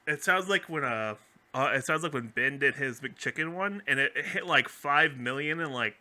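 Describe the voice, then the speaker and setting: A man co-hosting a podcast in casual, joking chat, animated and often repeating himself as he goes. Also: mid-range voice, normal speed. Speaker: podcast co-host.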